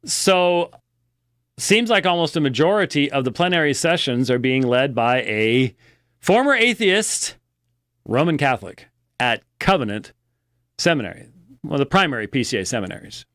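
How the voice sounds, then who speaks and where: A man giving unscripted religious news commentary as a program host, halting and deliberate, with a critical, emphatic edge. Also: mid-range voice, very animated, fairly bright tone, no echo, clean studio sound.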